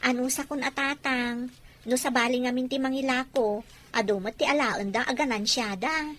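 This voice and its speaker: A woman's voice: high voice, steady tone, moderate pace, a bit bright. A woman playing a young village fish seller, a dutiful and plain-spoken daughter in a radio drama. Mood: earnest.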